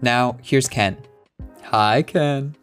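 A man's voice: medium pitch, very slow, fairly bright tone, soft background music. Canadian man hosting a casual podcast. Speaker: podcast host.

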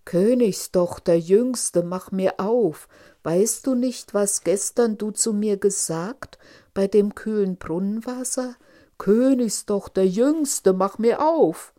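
A woman voicing a male talking frog from a storybook, deliberate and insistent as he bargains. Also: fairly low pitch, mellow. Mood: demanding.

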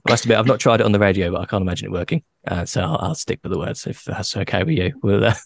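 A man hosting a podcast, chatting casually with a guest, playful and quick to laugh. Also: on the low side, gravelly. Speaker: podcast host. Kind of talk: casual interview chat.

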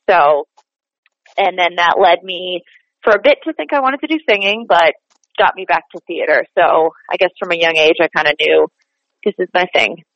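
A woman's voice: fairly low pitch, dry recording. A young woman from Boston, a stage performer, chatting as an interview guest with easy laughs and plenty of fillers. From Boston, USA. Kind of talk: casual interview chat. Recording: dry, slightly dull podcast audio.

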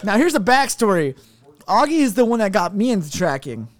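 High-pitched dude hosting a chill car podcast with his buddies, swears a lot, laughs all the time. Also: very animated, fairly quick, faint music behind. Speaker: podcast host. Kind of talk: casual chat with friends. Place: home garage at a viewing party.

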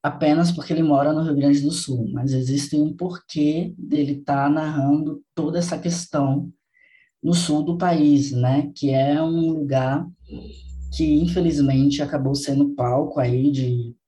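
A man's voice: fairly high pitch, steady tone, unhurried, warm tone, low-quality recording. A man from Bahia, hosting a podcast and speaking earnestly and reflectively, repeating phrases for emphasis. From Bahia, Brazil. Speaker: podcast host. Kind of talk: casual podcast conversation.

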